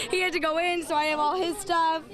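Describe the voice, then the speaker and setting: A woman fan speaking in an excited, giggly way during a street news interview. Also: extremely high pitch, moderate pace. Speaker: sports fan interviewed while shopping. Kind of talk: excited vox-pop interview. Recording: crowd noise around an on-location interview.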